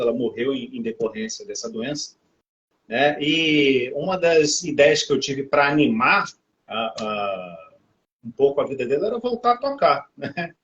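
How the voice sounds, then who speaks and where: A man in his thirties hosts a relaxed interview, chatting freely and adding little tag questions. His voice sits at a middle pitch. The recording sounds dull and lo-fi. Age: 30s. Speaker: host. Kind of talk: casual interview chat. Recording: dull lo-fi audio.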